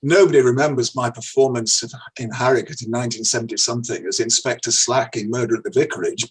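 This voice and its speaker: A man's voice: medium pitch, steady tone, quick pace, slightly rough, low-quality recording. An older man with a slight Irish accent, an actor telling anecdotes in a casual, self-deprecating way. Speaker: actor, interview guest.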